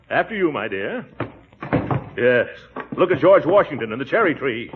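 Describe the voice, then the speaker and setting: A man's voice: mid-range voice, sing-song, moderate pace, close to an everyday voice. Over a tinny, hissy 1940s radio broadcast comes a man acting a pompous middle-aged uncle, a chuckling schemer. His voice swoops widely up and down in broad sitcom bluster. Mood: blustering, self-important.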